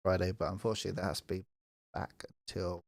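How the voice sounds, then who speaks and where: Male co-host in his twenties to thirties, low voice, easygoing and chatty, dry close mic. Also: fast talker, vocal fry, no room sound. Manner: relaxed, chatty, laughs often. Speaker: podcast co-host, touring musician. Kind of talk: casual live podcast chat.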